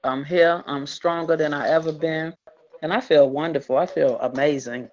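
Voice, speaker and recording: A woman's voice: low-pitched, fairly even intonation, normal speed, a little mellow. A woman of fifty co-hosting an open mic show, talking casually with exuberant, churchy enthusiasm. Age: 50s. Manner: exuberant, churchy, talks to the audience as y'all. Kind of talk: casual chat. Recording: dull lo-fi online radio audio.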